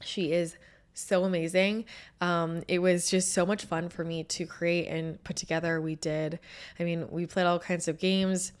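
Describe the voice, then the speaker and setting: A woman's voice: on the low side, level tone, unhurried, smooth. Scratchy-voiced woman host, chatty. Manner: chatty and upbeat, with laughs and fillers. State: hoarse, recovering from lost voice. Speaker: podcast host. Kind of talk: casual solo chat.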